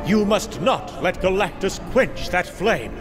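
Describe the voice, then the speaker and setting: A man playing a noble, sorrowful alien hero in a motion comic, declaiming in an earnest, lofty and measured way. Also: high-pitched, animated, music in the background. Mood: impassioned pleading. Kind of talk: motion comic dramatic acting.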